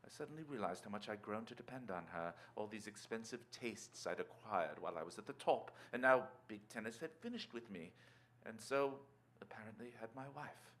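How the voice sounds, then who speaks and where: A suave, scheming retired tennis player in a radio play. His voice sits fairly low but swings widely in pitch. He is urbane and glib, leading the talk with polished affability. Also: expressive, brisk, a bit bright. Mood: brooding, calculated. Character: suave, calculating retired tennis star, a married man. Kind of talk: stage radio-drama acting.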